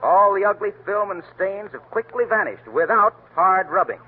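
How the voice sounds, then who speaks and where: Male radio announcer, salesman-like pitch, fairly high voice; phone-thin vintage sound. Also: lively intonation, moderate pace, slightly rough. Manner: emphatic, salesman-like. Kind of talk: scripted commercial and show announcing. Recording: tinny lo-fi old radio broadcast, faint hiss.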